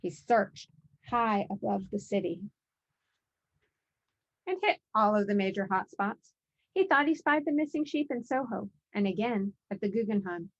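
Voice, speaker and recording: A woman's voice: mid-range voice, fairly even intonation, a little slow, muffled recording. A woman narrating a children's picture book aloud as its author.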